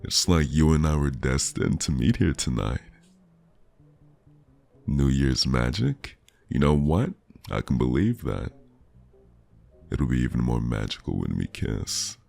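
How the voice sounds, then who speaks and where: A man playing a flirty, charming stranger in an intimate, teasing ASMR roleplay, with a deep, gravelly voice and a lingering delivery. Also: very animated, a little slow, long pauses, a little mellow, faint music behind, full character voice. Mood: tender, eager. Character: flirty charming male stranger at New Year's party.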